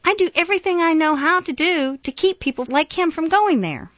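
A woman's voice: lowish voice, sing-song, a little slow, no echo, lo-fi sound. A woman voicing a frustrated, defiant male Southern schoolteacher, insistent and exasperated. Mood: exasperated defiance.

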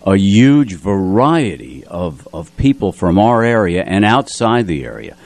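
A man's voice: on the low side, sing-song, a little slow, a little mellow, dry recording. A man working as a radio announcer and co-host, chatting casually and effusively in a studio, often repeating himself for emphasis. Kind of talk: casual radio chat and show introduction. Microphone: studio radio microphone.

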